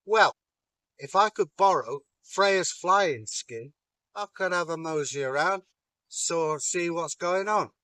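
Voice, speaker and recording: A sly, wheedling trickster god, as a storyteller puts him on, all chummy cheek and smooth talk. He pitches his voice up a touch, close and dry. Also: expressive, slow, bright, forceful tone, dry recording, full character voice. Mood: scheming.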